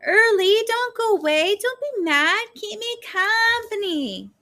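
Lady doing a little boy in an audiobook, high whiny voice, clingy and tearful. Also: lively intonation, moderate pace, creaky, fairly bright tone, heavily acted. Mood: pleading, crying.

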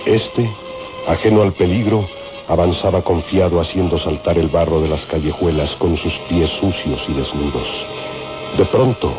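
A man's voice: low voice, normal speed. A man giving declamatory, dramatic scripted narration for an old-time radio serial. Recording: dull lo-fi radio recording, loud music.

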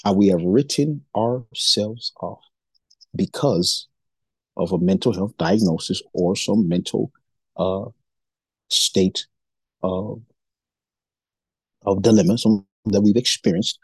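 A man from Nigeria co-hosting a faith-based podcast, talking in an animated, preachy way and acting out the voices he quotes. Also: lowish voice, slow, gravelly, long pauses, breathy edge, no echo, rough recording. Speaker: podcast co-host.

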